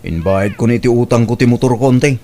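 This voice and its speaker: An actor playing a wayward, hard-drinking older brother in a radio drama, with a casual, offhand manner. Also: male voice, medium pitch, brisk, mellow, close to an everyday voice. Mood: defensive. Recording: faint background noise.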